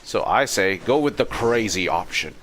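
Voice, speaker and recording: A man's voice: on the low side, lively intonation, moderate pace, fairly bright tone, a little hiss or hum. A man livestreaming a game with casual, laid-back, musing commentary. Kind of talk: casual play-through commentary.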